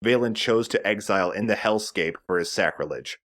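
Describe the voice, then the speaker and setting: A man's voice: fairly low pitch, fairly quick, a bit bright. A man giving casual, chatty commentary as he explains a video game. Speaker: let's play host.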